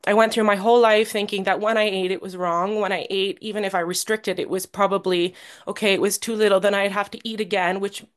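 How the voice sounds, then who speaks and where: A woman's voice: mid-range voice, fairly even intonation. Nervous woman sharing her story. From Canada. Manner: halting and earnest, with many pauses and restarts. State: a little nervous. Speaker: guest speaker at a recovery meeting. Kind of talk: personal recovery share.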